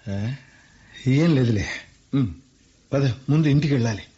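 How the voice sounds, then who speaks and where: A man's voice: mid-range voice, animated, normal speed, dark tone, lightly acted. Brief: an actor as a playful, commanding middle-aged businessman, old lo-fi radio play. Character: wistful middle-aged male industrialist. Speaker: lead character. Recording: muffled radio play with faint music.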